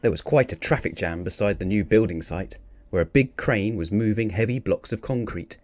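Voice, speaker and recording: Lowish-voiced man reading a children's story. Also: normal speed, darkish tone, no echo. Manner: theatrical on sound-effect words. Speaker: storybook reader. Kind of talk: expressive children's read-aloud narration.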